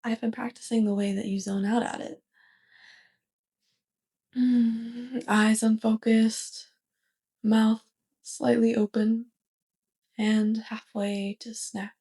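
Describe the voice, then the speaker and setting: A woman playing a curious, polite, awkward alien roommate in an ASMR roleplay, with soft, stilted, over-formal and hesitant speech. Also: a little high, level tone, slow, long pauses, slightly rough, heavily acted. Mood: curious, fascinated.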